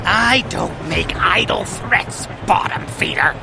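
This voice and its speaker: A bloated, bullying alien tyrant from an audio drama, very high and heavily creaky. He drawls slowly and sneers like a crude showman, full of bluster. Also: expressive, very noisy, full character voice. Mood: gloating threats.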